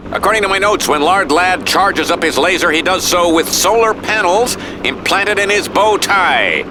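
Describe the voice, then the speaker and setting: A pompous middle-aged American news anchor in a cartoon video game, his voice pitched on the high side. He delivers callous, self-important live commentary with melodramatic weight, over loud battle noise. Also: expressive, normal speed.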